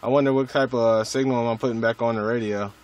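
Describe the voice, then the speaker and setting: A man's voice: medium pitch, steady tone, fast talker, lo-fi sound, very noisy. An American man chatting casually as a radio hobbyist, in a laid-back, slangy way with some swearing.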